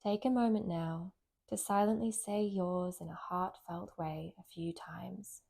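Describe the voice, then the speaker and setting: Female meditation guide, very slow pacing, soft dark mellow tone, gentle and soothing, long pauses. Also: on the low side, fairly even intonation, gravelly. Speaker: meditation guide, yoga nidra teacher.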